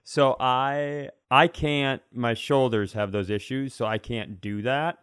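A male comedian and podcast host telling stories casually, acting out bits in an animated, self-deprecating way. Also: mid-range voice, takes it slowly, clean tone, dry recording, studio quality. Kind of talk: casual comic storytelling.

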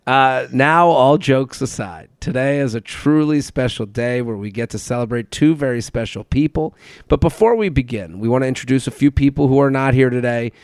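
A man with a clear, smooth voice at an average pitch co-hosts a podcast. He reads from a script and drops in casual asides, in a very dry recording. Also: normal speed, a little mellow, dry recording. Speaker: podcast co-host.

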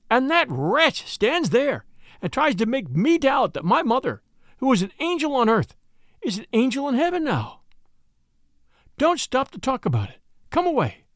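Proud indignant young woman played by a male reader, raised pitch, lively contours, dry booth sound. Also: creaky, no echo. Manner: high-strung, indignant. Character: young lady Rachel, proud and horrified.

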